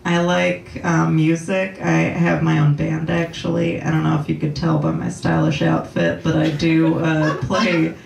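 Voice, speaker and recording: A young woman doing a slow, deadpan stand-up set with a low voice and halting delivery full of ums. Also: creaky, slight background noise. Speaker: stand-up comedian. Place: comedy club stage with laughing audience. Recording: roomy club sound from a distance.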